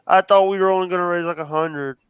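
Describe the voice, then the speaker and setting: A man's voice: high-pitched, steady tone, clean tone, dry recording, lo-fi sound. A man co-hosting a livestream chat who stammers and restarts his sentences, with a sore throat coming on. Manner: halting, stammering, starts sentences over. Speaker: co-host.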